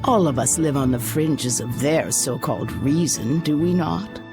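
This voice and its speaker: A woman's voice: sits low, lively intonation, measured pace, music in the background. A woman voicing a shrewd, courteous old female informant in a video game, wry and genteel. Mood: gently knowing. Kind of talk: video game cutscene voice acting.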